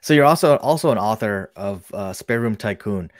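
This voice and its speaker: A man's voice: lowish voice, lively intonation, moderate pace, no room sound, studio quality. A man hosts an interview show and introduces his guest with enthusiasm and praise. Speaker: podcast host. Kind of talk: interview hosting.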